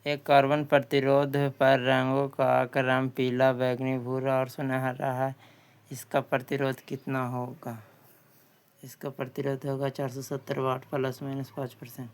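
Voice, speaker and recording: Male quiz tutor, smooth clear tone, flat intonation, halting read-aloud of questions and answers with repeats. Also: medium pitch. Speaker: exam-prep tutor.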